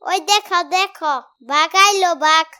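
A small, nosy nephew from a comedy cartoon, with an extremely high, piercing voice. The boy is pert and argumentative, always teasing, and speaks with a colloquial Bangladeshi accent. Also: fairly even intonation, normal speed, bright, forceful tone. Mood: curious. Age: child character.

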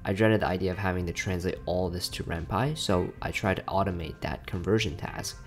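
A man with a fairly low voice narrates a scripted software tutorial. He explains things in a casual, plain-spoken way. Faint guitar music plays behind him. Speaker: software developer presenting a tutorial. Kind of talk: scripted explanatory narration over screen recording. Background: background music with guitar.

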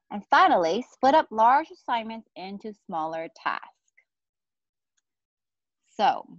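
A woman in her forties reads a children's book aloud at an unhurried pace. Her pitch moves around a lot as she explains things to young listeners. The sound is close and dry, slightly muffled and lo-fi. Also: dry recording. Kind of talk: storytime read-aloud with casual asides to children. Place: outdoor patio beside trees.

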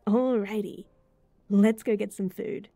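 A woman voicing a sweet, bubbly young rental girlfriend in an intimate roleplay audio, giggly, chatty and gently reassuring. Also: mid-range voice, steady tone, slow, dry recording, studio quality, soft background music. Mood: playful, excited. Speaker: voice actor.

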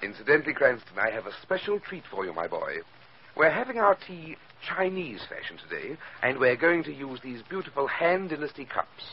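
An actor playing a genteel, scheming criminal mastermind posing as an art collector, suave and fussily courteous in 1940s radio style. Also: male voice, medium pitch, very animated, natural voice. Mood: genial. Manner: suave, fussy, mock-courteous, deliberate. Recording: dull, noisy 1940s radio recording.

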